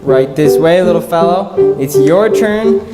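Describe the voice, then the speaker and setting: A man's voice: fairly high pitch, animated, measured pace. A man playing a showy store barker and announcer in the style of old-time radio. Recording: echoey hall, loud guitar music behind.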